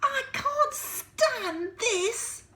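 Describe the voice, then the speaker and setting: A woman voicing a tired, exasperated male father bear who wails his complaints slowly in a high, strained voice. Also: lively intonation, bright, forceful tone, roomy sound, heavily acted. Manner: slow, wailing complaint, rising high.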